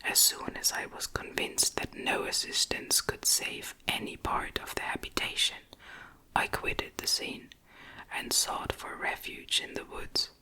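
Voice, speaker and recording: A woman reading a gothic novel as ASMR in a gentle, breathy, unvoiced whisper, with measured literary storytelling. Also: rough recording. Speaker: audiobook narrator.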